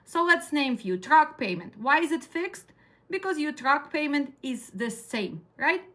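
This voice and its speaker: A middle-aged woman with a Ukrainian accent teaches a class in a direct, no-nonsense way. Her voice sits a little high and sounds bright and sharp. She keeps putting questions to her students as she lectures. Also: expressive, measured pace. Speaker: dispatch trainer.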